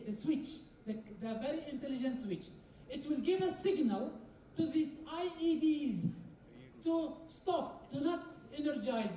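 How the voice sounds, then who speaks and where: A woman's voice: high-pitched, mellow, a little hiss or hum. A woman giving a technical conference lecture, explaining step by step, repeating phrases and asking the audience questions. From Kuwait. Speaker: conference speaker. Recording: echoey hall, distant mic.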